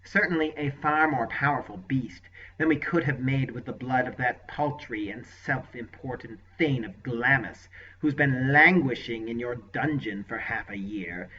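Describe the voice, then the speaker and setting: A man's voice: a little slow, few pauses, husky, distant mic. A man doing a nasal, unctuous sorcerer. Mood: smug scheming. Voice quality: deeply nasal. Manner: unctuous, smug, sly. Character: unctuous nasal male sorcerer, scheming and smug. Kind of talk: audiobook character voice. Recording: lo-fi dull recording.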